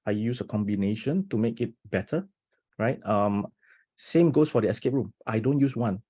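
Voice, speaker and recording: Low husky guy on a video call, teacher talking fast and excited, keeps saying right?. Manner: animated, talks fast with many restarts and rhetorical "right?". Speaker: teacher presenting at an education webinar. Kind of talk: enthusiastic webinar talk.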